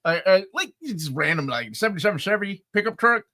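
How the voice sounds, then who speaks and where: A man's voice: sits high, a little fast. A man from Peru and the United States with a light Midwestern accent, hosting a casual live-stream chat and telling stories with laughter. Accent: light Midwestern American. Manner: excitable, laughing, animated storyteller. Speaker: host.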